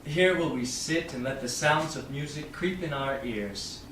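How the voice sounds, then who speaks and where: A man's voice: fairly high pitch, unhurried, fairly bright tone. A man playing a romantic, poetic young lover in a staged Shakespeare reading. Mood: tender, lyrical. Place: small theatre stage, far audience camera. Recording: very echoey stage, distant camera, faint noise.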